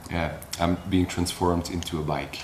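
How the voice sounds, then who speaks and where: A young man from Belgium talks casually about his acrobat work, filling his sentences with "like" and "uh". His voice is very low. The room is echoey, with faint noise behind him. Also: moderate pace. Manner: relaxed, filler-heavy with frequent "like". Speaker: circus acrobat. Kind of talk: casual interview talk.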